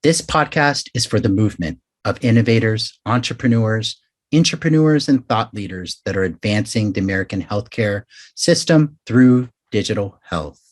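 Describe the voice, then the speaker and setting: Man, podcast host, lowish voice, polished, upbeat, interviewer. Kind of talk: scripted intro, then interview.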